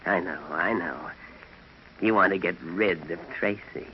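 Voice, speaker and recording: A sinister firebug henchman in a radio crime serial. He speaks very slowly in a fairly low, drawn-out voice. The aged recording is muffled and faintly noisy. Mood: sly. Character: gleeful arsonist henchman, slow and sinister. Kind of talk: 1940s radio acting.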